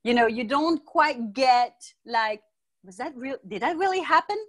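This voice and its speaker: A middle-aged woman from the United States, raised in Martinique, answering interview questions in a calm, measured way from home over earphones. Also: high voice. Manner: calm, thoughtful, measured explaining. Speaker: guest, Ayurvedic practitioner. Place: home room with bookshelves. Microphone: earphone mic on video call.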